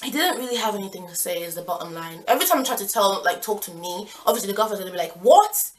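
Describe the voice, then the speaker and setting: A woman's voice: mid-range voice, animated, fast talker. A young woman tells a casual personal story, slangy and dramatic, acting out each side of the dialogue. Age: young adult. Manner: slangy, dramatic, quotes people with exasperated emphasis. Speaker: storytelling vlogger.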